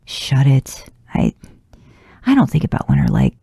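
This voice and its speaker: A gruff, protective man from an audio story, as a woman reader puts him on. He is easily flustered and defensive, speaking haltingly with a creaky, mellow tone. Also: a bass voice, wildly swooping pitch, no room sound, studio quality, exaggerated voice.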